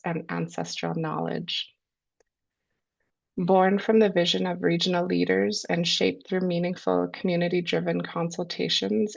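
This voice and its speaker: A woman with a low voice and a cold, hosting a webinar and introducing its speakers in a measured way with frequent ums. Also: gravelly. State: has a cold. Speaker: webinar host. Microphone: video call. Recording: lo-fi video call audio.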